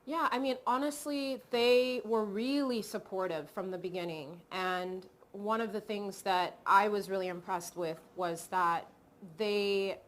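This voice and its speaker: A woman from Cameroon, a film director, talking reflectively in an interview with many pauses and restarts. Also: mid-range voice, steady tone. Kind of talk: video call interview.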